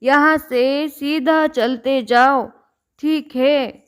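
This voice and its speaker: A woman voicing a kindly old woman in a cartoon fairy tale, soothing and measured. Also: high-pitched, steady tone. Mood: kind reassuring. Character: kindly old woman guiding a troubled girl.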